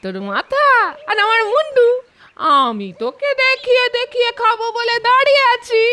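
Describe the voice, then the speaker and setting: A woman voicing a spiteful old grandmother, the elder sister, in a shrill, taunting voice. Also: big pitch swings, moderate pace, sharp tone, heavily acted. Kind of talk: cartoon voice acting. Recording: cartoon soundtrack with music.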